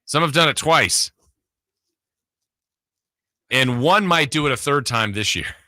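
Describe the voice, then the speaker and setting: A man's voice: mid-range voice, lively intonation, takes it slowly, sharp tone, dry recording. A man hosting a sports radio show, talking casually and thinking aloud in a conversational way. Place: radio studio. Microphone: close broadcast studio mic.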